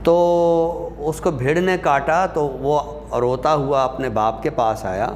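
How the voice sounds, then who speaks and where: A man's voice: on the high side, steady tone, a little mellow. Man lecturing over noticeable background noise. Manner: deliberate, pausing, poses and answers own questions. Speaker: lecturer teaching Urdu rhetoric. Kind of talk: explanatory class lecture.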